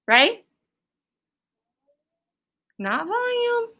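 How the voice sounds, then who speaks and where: A woman's voice: very high-pitched, sing-song, very slow, sharp tone. A woman teaching an elementary class, quizzing pupils in a firm, directive manner. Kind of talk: classroom lesson with question and answer.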